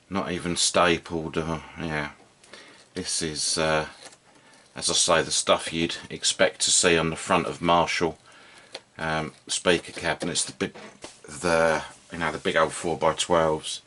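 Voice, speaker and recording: Male hobby host, low register, casual and understated, thinking aloud at a workbench, faint noise behind. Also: pushed and bright. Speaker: host of a hobby radio repair video. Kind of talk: casual unscripted demonstration commentary. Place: indoors at a workbench.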